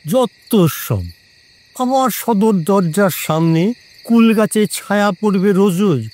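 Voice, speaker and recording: A man playing an irritable, self-important Brahmin priest in a horror audio drama, grumbling in drawn-out phrases. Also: a little high, darkish tone. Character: irritable, haughty village Brahmin priest, living alone, superstitious.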